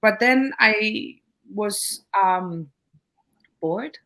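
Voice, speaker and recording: A woman in her thirties from Denmark, a guest telling her story in a casual interview, pausing often with fillers and restarts. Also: medium pitch, fairly bright tone. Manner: thoughtful, hesitant with many fillers and restarts. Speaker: guest entrepreneur.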